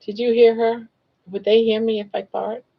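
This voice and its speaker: A woman's voice: a little high, little pitch movement, moderate pace, creaky, muffled recording. A woman in her sixties hosting a casual live stream, chatting and joking and talking sweetly to her pets. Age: 60s. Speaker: livestream host.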